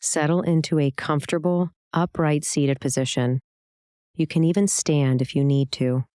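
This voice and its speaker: Mellow, smooth meditation guide. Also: medium pitch, dry recording, studio quality. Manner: calm, soothing, gentle delivery with long pauses.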